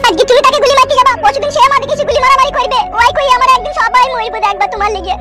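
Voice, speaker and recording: A woman's voice: very high voice, very fast, over loud music, pitched above the performer's own voice. A woman voicing a worried, scolding older village mother. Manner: nagging, scolding.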